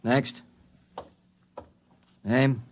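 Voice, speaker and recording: An actor playing a bored, indifferent processing clerk in a 1950s radio drama, clipped and offhand. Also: male voice, mid-range voice, level tone, extremely slow, slight background noise. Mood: bored, questioning.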